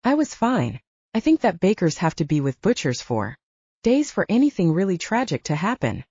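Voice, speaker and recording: Woman playing a mellow, nonchalant flirtatious man. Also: lowish voice, sing-song, normal speed, slightly rough, no echo, muffled recording.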